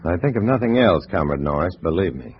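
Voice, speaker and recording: An actor playing a guarded, weary undercover agent who narrates in a clipped, brooding style in a 1950s radio drama. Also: male voice, low voice, sing-song, a little fast, a little hiss or hum. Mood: meek, wary. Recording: dull vintage radio recording, loud music.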